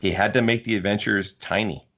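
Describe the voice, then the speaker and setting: A man hosting a casual book discussion among friends, talking haltingly and repeating words as he works through his points. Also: lowish voice, steady tone, a bit bright, highs cut off. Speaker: podcast host.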